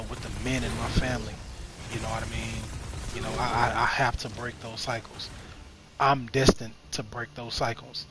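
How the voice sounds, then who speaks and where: A man in his twenties talking reflectively about spiritual growth while playing a game, rambling and repeating phrases with frequent "you know what I mean". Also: mid-range voice. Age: 20s. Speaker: streamer. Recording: noticeable background noise.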